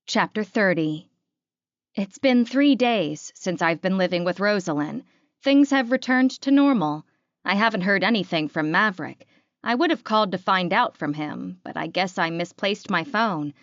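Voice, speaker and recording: A woman's voice: mid-range voice, expressive, moderate pace, no echo, lo-fi sound. A woman reading a first-person fantasy romance audiobook as scripted narration.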